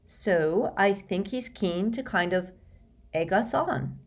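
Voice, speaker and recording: A woman's voice: medium pitch, lively intonation, slow pace, voice pushed up. A woman voicing an anxious, thoughtful teenage schoolgirl who chooses words carefully and hesitantly in a storybook reading. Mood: careful, earnest.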